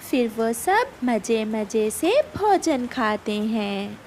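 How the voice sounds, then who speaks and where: A woman narrates a children's cartoon fable in a fairly high voice. Her reading is slow and very expressive in pitch, with faint noise behind it. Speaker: storyteller.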